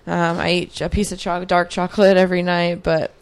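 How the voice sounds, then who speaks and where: A woman's voice: lowish voice, flat delivery, a little fast, clean tone. A woman in her mid-thirties, a guest answering interview questions in a reflective, earnest way with many fillers. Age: 30s. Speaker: podcast guest, athlete.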